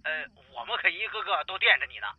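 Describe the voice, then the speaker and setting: Raspy, animated buddy, acted by a man, on phone. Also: high-pitched, fast, no room sound, full character voice. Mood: awkward, placating. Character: excitable, chummy old buddy, a married man. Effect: telephone filter. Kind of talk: Mandarin radio drama phone call acting. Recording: dull, muffled phone-call sound.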